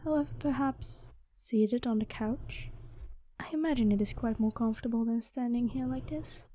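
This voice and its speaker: Woman playing a very mellow, courtly dragon queen. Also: a little high, fairly even intonation, normal speed, slight background noise, natural voice. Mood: tender, affectionate. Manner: soft, intimate, formal courtly phrasing, often slipping into whispers. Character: gentle, lovestruck dragon queen, courtly and affectionate. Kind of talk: improvised ASMR roleplay. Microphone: close microphone, dry sound.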